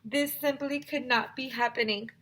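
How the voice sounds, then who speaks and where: A woman's voice: high-pitched, steady tone, normal speed, fairly bright tone, pitched above the performer's own voice. A woman voicing a dramatic, easily panicked young schoolboy in a children's storybook.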